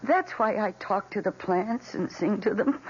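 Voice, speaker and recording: An actress with an American accent playing a lonely, timid middle-aged housewife in a radio drama, pleading hesitantly and earnestly. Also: female voice, medium pitch, expressive, measured pace, natural voice. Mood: wistful, yearning. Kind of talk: vintage radio drama acting. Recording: dull, noisy old radio recording.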